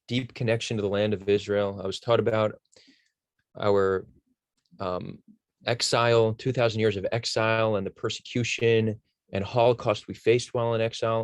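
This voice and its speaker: An American man from New Jersey speaking as a guest, telling his personal story in a measured, reflective, conversational way. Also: fairly low pitch, no room sound. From New Jersey, United States. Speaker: guest speaker, initiative founder.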